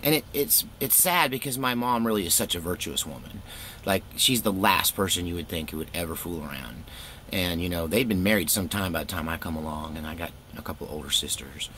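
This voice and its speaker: Low-voiced middle-aged man, laid-back storyteller. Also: fairly quick, bright, forceful tone, a little hiss or hum. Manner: laid-back, wry, self-deprecating. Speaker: storytelling vlogger, former youth pastor. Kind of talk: casual rambling personal storytelling.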